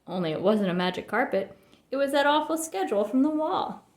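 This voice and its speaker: A woman reads a children's storybook aloud as narrator. Her voice sits on the high side. The recording is roomy, with a clear echo around the words. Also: lively intonation, a little fast. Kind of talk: children's book read-aloud.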